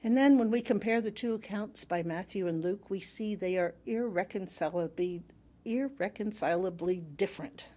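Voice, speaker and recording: A woman reading a sermon aloud to a congregation in a measured, emphatic way with wry humour. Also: medium pitch, warm tone. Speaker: reader delivering another minister's sermon.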